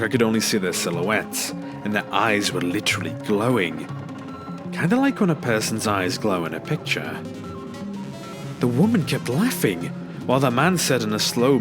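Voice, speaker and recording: A man reading a scripted first-person scary story as a narrator. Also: on the low side. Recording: background music under the voice. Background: music.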